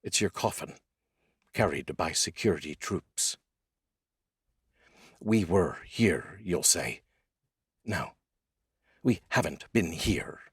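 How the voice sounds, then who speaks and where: Male poetry host and voice actor, very low husky register, wry and deliberate, recorded close and dry. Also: lively intonation, no echo. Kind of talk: informal spoken intro and poetry reading.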